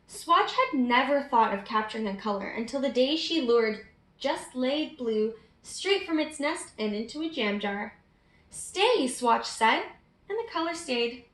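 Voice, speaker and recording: A woman with a high, bright voice reads a storybook aloud to young children. Her pace is slow and deliberate, and her delivery is expressive and inviting of participation. The sound is roomy. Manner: prompts young listeners with questions.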